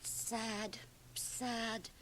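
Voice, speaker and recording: An actress playing a prim, disapproving small-town gossip, sanctimonious and easily scandalised, in a radio drama. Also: female voice, on the high side, level tone, very slow, fairly bright tone. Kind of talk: 1980 radio drama acting. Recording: noisy cassette copy of radio drama.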